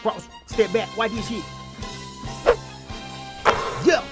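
A man's voice: high voice, slow, over music. A man doing comedic sports parody voiceover, with brash, slangy trash talk.

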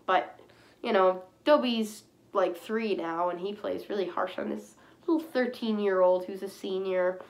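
A woman with a stuffy nose from a cold, chatting casually and rambling to camera from a small room. She often clears her throat. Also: mid-range voice, a little slow. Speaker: fursuit vlogger.

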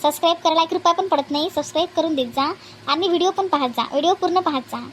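A woman's voice: extremely high pitch, quick pace, a little hiss or hum. A woman speaking as a channel host, making a casual appeal to viewers.

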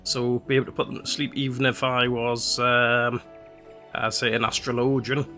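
A man's voice: medium pitch, moderate pace, faint music behind. A man hosting a let's play, chatting casually about his gameplay in a rambling way with many fillers. His nose is slightly congested from a cold. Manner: relaxed, rambling, with frequent "uh" fillers.

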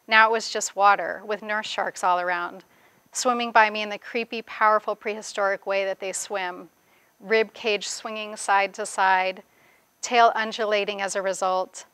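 Bright-voiced woman author reading aloud. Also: medium pitch, fairly even intonation, normal speed, clear, resonant tone, no room sound. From grew up in the Bahamas. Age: 40s. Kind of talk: reading aloud from own memoir, with spoken commentary. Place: indoors, seated before a plain wall at a reading event.

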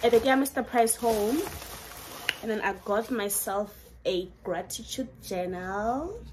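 A woman's voice: mid-range voice, animated, unhurried, background noise. A young South African woman with a Setswana accent, chatting through a shopping haul in her bedroom. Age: young adult. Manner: chatty, enthusiastic, code-switching. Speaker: vlogger. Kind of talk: casual haul vlog, mixing English and Setswana. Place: bedroom at home, indoors.

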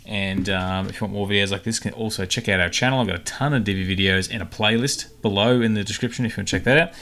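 A man hosting a casual software tutorial, talking informally and a little hesitantly as he thinks aloud. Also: on the low side, quick pace. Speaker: tutorial host. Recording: clicks and typing, faint background noise. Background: keyboard typing and mouse clicks.

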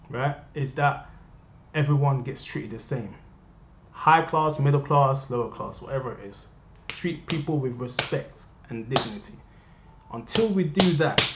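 A young man from Cameroon speaks straight to his viewers in an impassioned, repetitive home vlog. His voice sits at an ordinary pitch. It rings around a bare living room through a dull webcam mic. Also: slight background noise. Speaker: vlogger. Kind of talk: earnest personal rant to camera. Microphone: webcam at desk. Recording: roomy, dull lo-fi webcam audio.

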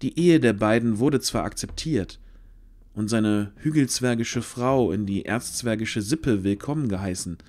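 A man narrating a scripted fantasy audio drama in a measured storyteller's delivery with deliberate pauses. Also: lowish voice, darkish tone. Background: background music.

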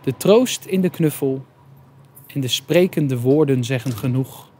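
A man's voice: mid-range voice, measured pace, dark tone, husky. A man reciting a reflective poem as spoken word. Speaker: poet reciting.

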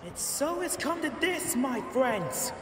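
A theatrical fight announcer calls out a deathmatch in a very high, wildly swooping voice. He is a bombastic showman, drawing out his words for drama in an echoing arena. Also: sing-song, slow, over music, full character voice. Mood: grand, gleeful hype. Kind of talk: ring announcer, melodramatic. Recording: echoey distant arena with crowd noise.

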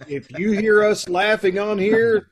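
Middle-aged male host, slightly high voice, informal chatty introductions on a video call. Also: steady tone, measured pace, a crowd in the background. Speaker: host of an amateur radio-drama troupe. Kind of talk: casual chat, introducing cast and show. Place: indoor room at home, video call. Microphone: video call microphone.

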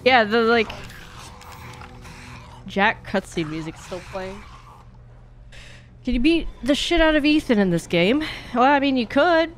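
A woman's voice: fairly high pitch, expressive, a little slow, long pauses, a little hiss or hum. A woman streamer giving casual, playful live gameplay commentary, chatting along and answering her viewers. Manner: chatty, playful, reading and answering chat aloud.

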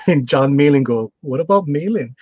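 A man's voice: a little high, animated, moderate pace, no echo, dull audio. A man from Hawaii co-hosting a casual chat, friendly and laughing often as he explains things. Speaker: co-host.

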